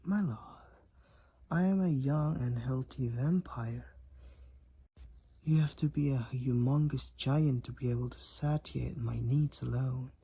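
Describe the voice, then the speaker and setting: A man voicing a devoted, protective vampire boyfriend with courtly old-fashioned phrasing and a husky, creaky voice, in an intimate roleplay audio. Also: medium pitch, a little slow, dark tone. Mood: devoted, reassuring. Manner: archaic, courtly phrasing, tender endearments. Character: devoted, overprotective young-looking male vampire boyfriend, 128 years old.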